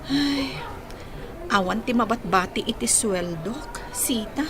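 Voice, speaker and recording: A woman's voice: on the high side, lively intonation, takes it slowly. An actress playing an affectionate, trusting young working woman abroad in a radio drama, speaking tenderly and expressively. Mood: wistful.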